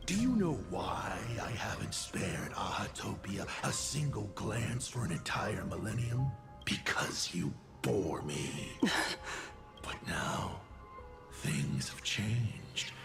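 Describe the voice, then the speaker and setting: Gravelly, sinister villain lord. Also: male voice, on the low side, sing-song, full character voice. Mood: taunting. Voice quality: gravelly, breathy near-whisper. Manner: slow, drawling, theatrical taunting. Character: sinister, mocking male lord of destruction, theatrical villain. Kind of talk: video game voice acting. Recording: game music behind the voice.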